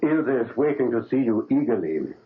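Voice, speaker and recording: An actor playing a proud, paternal surgeon in a 1970s radio drama, coaxing and insistent. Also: male voice, mid-range voice, big pitch swings, moderate pace. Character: proud, paternal German surgeon, older man. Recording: narrow phone-like old radio broadcast.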